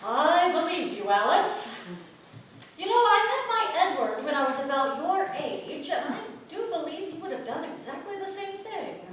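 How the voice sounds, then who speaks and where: Actress as high, bright, proud townswoman, echoey stage. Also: lively intonation, moderate pace. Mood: guarded. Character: Dorothy, a proud, conscience-stricken married townswoman of early modern England. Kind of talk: staged reading of a period stage play. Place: playhouse stage. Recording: echoey theatre from far off, faint noise.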